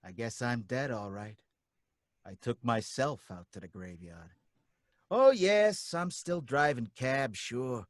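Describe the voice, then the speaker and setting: An actor playing a nervous, stammering cab driver who turns out to be a ghost, in an old-time radio drama. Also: male voice, mid-range voice, lively intonation, takes it slowly, no echo, clean studio sound. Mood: hushed eerie. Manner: folksy, anxious, stammering.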